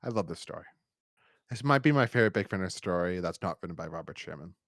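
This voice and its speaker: A man's voice: deep voice, expressive, fast talker, fairly bright tone, dry recording, clean studio sound. A man co-hosting a podcast, chatting casually and enthusiastically through a review in a rambling way. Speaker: podcast co-host.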